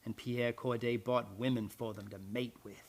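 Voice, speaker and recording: A man's voice: mid-range voice, fairly even intonation, moderate pace, close to an everyday voice. Man acting a grim, blunt island retainer. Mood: bitter, grave. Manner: rustic, archaic phrasing with "aye" and "'twas". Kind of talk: radio play acting.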